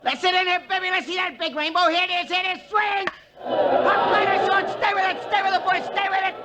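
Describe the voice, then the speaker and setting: An actor playing a cocky baseball catcher full of slangy, chanting chatter in an old-time radio drama. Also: male voice, very high voice, level tone, fairly quick. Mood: urgent, cheering. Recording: 1940s-50s radio recording, noisy crowd.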